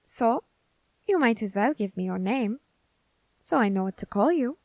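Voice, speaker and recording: A woman's voice: a little high, lively intonation, darkish tone, no echo. A woman playing an eager, curious young Grim Reaper scholar in an ASMR roleplay, fascinated and politely menacing. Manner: fascinated, deliberate, politely threatening. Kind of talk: ASMR roleplay addressed to the listener.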